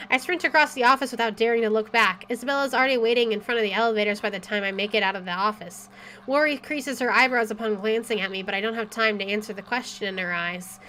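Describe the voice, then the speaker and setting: A woman game streamer with an expressive, excitable delivery, reading aloud and commenting as she plays. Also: fairly high pitch, a little hiss or hum. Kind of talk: dramatic reading aloud and let's play commentary. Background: faint game music.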